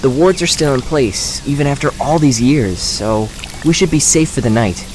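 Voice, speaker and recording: A man's voice: medium pitch, normal speed, darkish tone, a little hiss or hum, lightly acted, rough recording. A man playing a wary, guarded young man who is secretly a dragon in human form, in an audio drama. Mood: wary, reassuring. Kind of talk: audio drama character dialogue.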